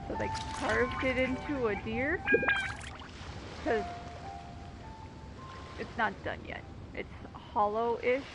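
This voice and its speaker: A woman's voice: fairly high pitch, slow pace, pauses often. A woman giving casual gameplay commentary in short, offhand remarks. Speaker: gaming video host. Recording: loud game music behind voice. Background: video game music and effects.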